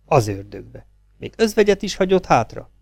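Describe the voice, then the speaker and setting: A wry, cocky private eye, read by a male narrator, tossing off quips with a lively lift in the voice. the voice sits close and dry, with no room sound behind it. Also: sits high, vocal fry, no room sound, pitched above the performer's own voice, full character voice. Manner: wry, joking.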